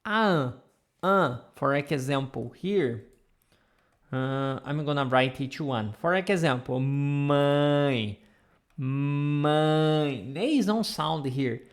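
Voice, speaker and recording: Brazilian woman, Portuguese teacher on a live stream, slow and patient, drills sounds over and over, camera mic. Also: deep voice, lively intonation. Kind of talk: live online language lesson.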